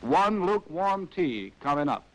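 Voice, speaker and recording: Animated American man, vintage newsreel-style narrator. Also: on the high side, unhurried, rough recording. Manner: wry, arch, theatrical phrasing. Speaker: narrator of a military training film. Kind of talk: scripted 1940s documentary narration. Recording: vintage 1940s film soundtrack.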